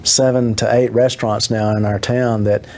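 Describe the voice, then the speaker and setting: Halting, creaky-voiced man. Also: lowish voice, flat delivery, darkish tone. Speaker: local town interviewee. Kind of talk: documentary interview. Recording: faint ambient noise.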